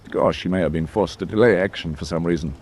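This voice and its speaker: An actor playing a thoughtful, shrewd senior British naval officer in a war radio drama, with a clipped, musing authority. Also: male voice, low-pitched, fast, darkish tone, a little hiss or hum, lightly acted.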